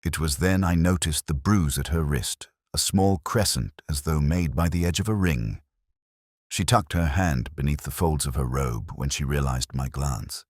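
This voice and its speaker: A man plays a weary middle-aged detective telling his own case as audio drama. His voice is extremely low and moves expressively up and down, with a measured, brooding, literary delivery. The recording is close and dry. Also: slightly rough, dry recording, exaggerated voice. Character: weary, razor-minded middle-aged English male police inspector narrating his case. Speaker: narrator. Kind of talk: scripted first-person detective audio drama narration.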